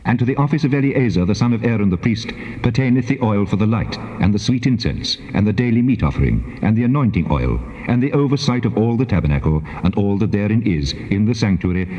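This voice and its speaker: An actor playing a solemn, commanding God in a dramatized scripture reading, speaking in a measured, stately way. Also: male voice, lowish voice, darkish tone. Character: solemn, commanding God giving instructions to his people. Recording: muffled cassette with long cavernous reverb.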